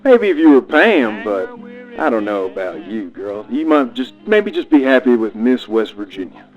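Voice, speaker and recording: A man playing a dismissive, deadpan family member in a comedic radio play, cutting and a bit hesitant. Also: medium pitch, normal speed, lightly acted. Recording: dull lo-fi sound with music behind.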